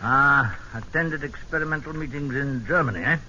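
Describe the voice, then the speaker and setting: An actor playing a brisk, jovial, middle-aged British general who hems and speaks in clipped phrases, in old-time radio drama style. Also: male voice, mid-range voice, bright, forceful tone. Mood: brisk, hearty. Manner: clipped, hemming, hearty upper-class officer talk. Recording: dull, noisy vintage radio broadcast.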